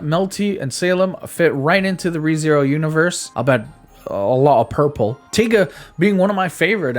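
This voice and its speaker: A man giving a casual, opinionated game review in a conversational way, repeating words for emphasis. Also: a little high. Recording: background music under voice. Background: music.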